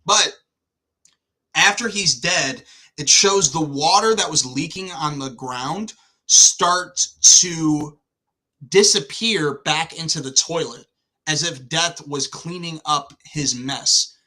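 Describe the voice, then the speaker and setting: Male review host, very bright forceful voice on the higher side, slight lisp, slangy and profane. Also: takes it slowly, low-quality recording. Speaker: host of a film review show. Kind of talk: casual, profane film review commentary.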